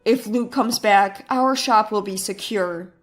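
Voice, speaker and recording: A woman reads the part of a brash grown son in a narrated story. He is forward and opportunistic, with soft music underneath. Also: mid-range voice, measured pace, lightly acted. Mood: eager. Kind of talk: storybook character dialogue.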